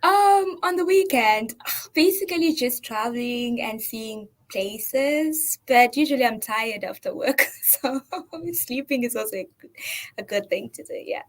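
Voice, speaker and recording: A woman's voice: on the high side, expressive, a little slow. A young woman from Zimbabwe, a trainee accountant answering interview questions casually with frequent fillers and laughter. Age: 20s. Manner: giggly, lots of "like" fillers.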